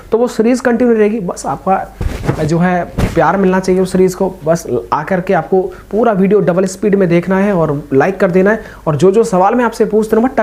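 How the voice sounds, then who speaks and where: A man's voice: sits high, darkish tone. A young man speaking informally and reassuringly, as the host of a video giving motivational advice to exam candidates. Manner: informal, reassuring, addressing listeners as brothers.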